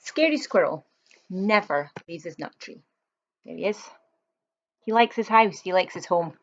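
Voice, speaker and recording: A woman's voice: on the low side, animated, normal speed, muffled recording. A woman reading a children's picture book aloud, expressively, with chatty explanatory asides to young listeners.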